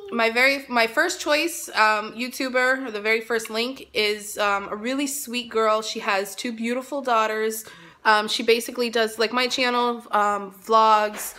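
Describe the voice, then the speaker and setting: A woman's voice: fairly high pitch, bright, forceful tone, a little hiss or hum. A woman in her thirties chatting casually to camera as a mom vlogger, rambling with lots of "um"s and "like"s, filmed on a phone held at arm's length in her tiled living room. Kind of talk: casual vlog chat to camera. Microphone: phone selfie camera at arm's length.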